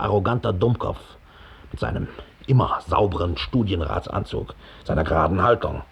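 Husky, contemptuous ex-Gestapo man. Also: sits low, heavily acted. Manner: scornful, smug, chuckling. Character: cynical, contemptuous former Gestapo officer giving testimony. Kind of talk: 1960s German radio drama acting.

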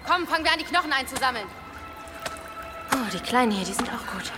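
A woman's voice: high voice, expressive, quick pace, over music. An actress playing a bold, urgent young girl in a medieval fantasy radio play, with a commanding manner. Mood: brisk, bossy. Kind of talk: German radio play acting.

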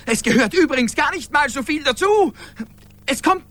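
Radio drama lead: pleading, halting everyman lover, high bright voice, clings stubbornly. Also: expressive, full character voice. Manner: pleading, halting, repeats himself. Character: desperate, devoted everyman lover clinging to his humanity. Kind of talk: German radio play acting.

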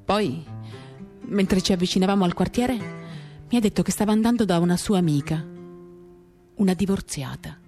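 A woman with a slightly low voice reads literary fiction as radio theatre. Her delivery is intimate and measured, broken into short reflective phrases. An acoustic guitar plays softly behind her. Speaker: reader of a novel for radio theatre. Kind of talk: dramatic literary reading, first-person confiding narration. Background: acoustic guitar music.

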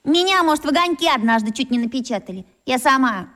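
A woman's voice: high voice, animated, quick pace, sharp tone, long reverb tail. A snooty, scoffing schoolgirl. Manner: scoffing, boastful.

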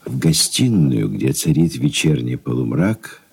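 A man narrating stage directions in a Soviet radio play, measured and unhurried. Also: very deep voice, very animated, vocal fry, darkish tone, slight background noise, full character voice.

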